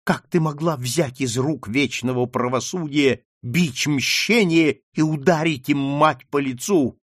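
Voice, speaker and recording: A man voicing a stern aristocratic older mother who commands her daughter in sharp outbursts, in an audiobook reading. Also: on the high side, measured pace, no room sound, studio quality. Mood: reproachful.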